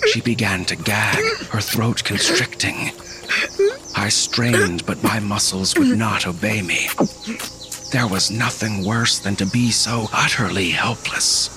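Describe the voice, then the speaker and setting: A man playing a haunted husband who narrates a supernatural horror story in a grave, suspenseful, deliberate way. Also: lowish voice, pushed and bright. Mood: dread, helpless. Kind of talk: dramatic scripted narration. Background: music.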